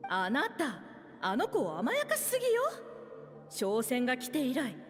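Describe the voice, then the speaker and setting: A woman's voice: sits high, wildly swooping pitch, brisk. A woman voicing a strict, worried mother in a game who scolds her wild daughter. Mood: exasperated. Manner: scolding, exasperated. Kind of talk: Japanese game voice acting. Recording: long reverb with game music.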